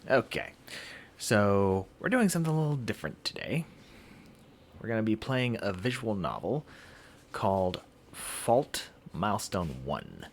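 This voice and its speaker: A man with a very low voice hosts a casual game stream. He talks slowly and haltingly, rambling along, while his pitch moves around in a lively way. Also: a little hiss or hum. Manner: halting, rambling delivery with throat clearing. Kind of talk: casual livestream chat.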